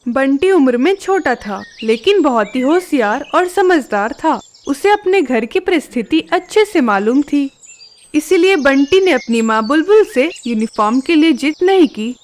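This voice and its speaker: A woman's voice: sits high, expressive, unhurried. A woman reading scripted narration for a children's cartoon story.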